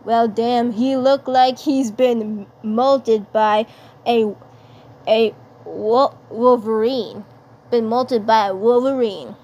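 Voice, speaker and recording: A woman's voice: on the high side, fairly even intonation, slow, a little mellow, muffled recording. A woman playing a snide, teasing, defensive fat schoolboy in a cartoon fan dub.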